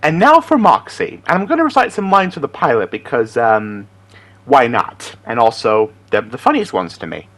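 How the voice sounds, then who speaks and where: A man's voice: mid-range voice, sing-song, normal speed, fairly bright tone. A chatty, enthusiastic, giggly man talking casually as an amateur voice impressionist introducing his character impressions. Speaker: amateur voice impressionist and aspiring voice actor.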